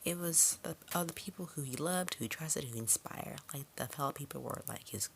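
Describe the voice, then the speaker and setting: Very low-voiced woman, noisy home recording. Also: a little fast, vocal fry. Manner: informal and chatty, trailing into tangents and self-interruptions. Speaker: film reviewer. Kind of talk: casual rambling spoiler review. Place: her room at home.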